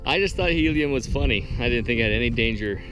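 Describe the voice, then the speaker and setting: A man's voice: medium pitch, animated, fast talker, over music, rough recording. A man hosting a behind-the-scenes vlog, chatting casually and asking questions. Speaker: vlog host interviewing the crew.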